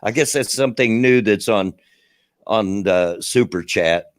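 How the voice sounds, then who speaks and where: A man's voice: on the low side, a little slow, dry recording, clean studio sound. A middle-aged man with a Kentucky and Tennessee redneck accent, hosting a casual livestream and rambling with a self-deprecating laugh. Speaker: livestream host.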